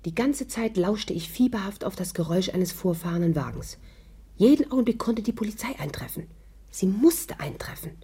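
An actress playing a bold, tense adventuress heroine in a 1950s German radio play, speaking urgently. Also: female voice, medium pitch, expressive, normal speed, dark tone, husky.

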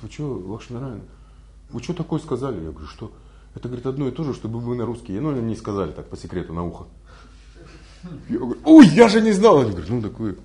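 A man who is not a native Russian speaker giving a spiritual lecture, full of rhetorical questions and lively storytelling. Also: on the low side. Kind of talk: recorded religious lecture.

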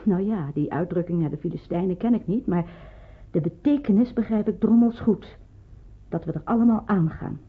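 An actress playing a businesslike woman in hiding who explains things briskly, in an old radio play. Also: mid-range voice, husky, lightly acted. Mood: casual, curious. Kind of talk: Dutch radio play acting. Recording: muffled, narrow-band old radio recording.

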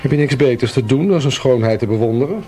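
An actor in a Dutch radio drama playing a gruff, laconic police detective who grumbles at his boss. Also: medium pitch, animated, fast, warm tone, soft background music, natural voice. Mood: grumbling.

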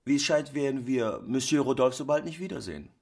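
A man's voice: mid-range voice, lively intonation, a little fast, vocal fry, a little mellow. A man playing a good-natured, unsuspecting husband in a radio drama, chatty and homely in manner. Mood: casual chatting.

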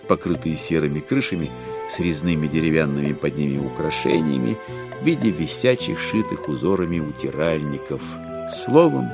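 A man narrating a classic literary audio drama with expressive, theatrical phrasing. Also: sits low. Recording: music behind the narration.

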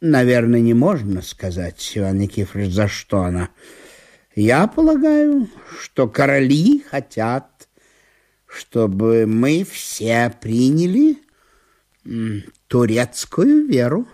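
An actor playing a prim, stubborn, easily offended provincial gentleman in a theatrical comic audio monologue, with a drawn-out, unctuous delivery. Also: medium pitch, sing-song, pitched below the performer's own voice. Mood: earnest.

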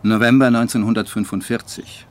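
An actor playing a brooding postwar writer who narrates his life in a measured, dry, literary way for a radio drama. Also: medium pitch, fairly even intonation, a little hiss or hum, lightly acted. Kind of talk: scripted radio drama monologue.

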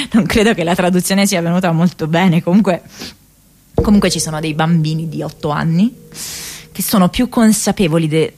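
A woman's voice: deep voice, a little mellow, husky, exaggerated voice. A woman playing an earnest, troubled young American activist in a radio theatre diary monologue, hesitant and searching for words. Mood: amused, reflective.

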